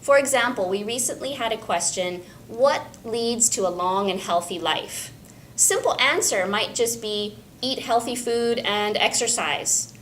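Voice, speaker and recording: A woman English instructor clearly explaining a practical tip on speaking tests. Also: fairly high pitch, expressive, a little slow, fairly bright tone, slight background noise. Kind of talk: teaching tip for a school video.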